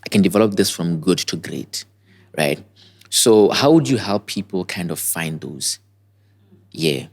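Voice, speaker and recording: Guy hosting a podcast, really deep voice, laid back, asks questions and keeps saying beautiful. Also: animated, measured pace. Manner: casual, questioning, affirming with repeated praise. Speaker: podcast host. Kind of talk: podcast interview.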